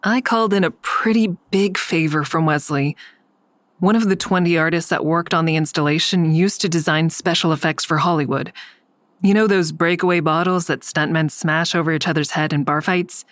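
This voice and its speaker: A woman's voice: low-pitched, normal speed, lightly acted. A woman playing an anxious but determined young amateur sleuth in a naturalistic audio drama. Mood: confiding. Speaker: lead character and first-person narrator.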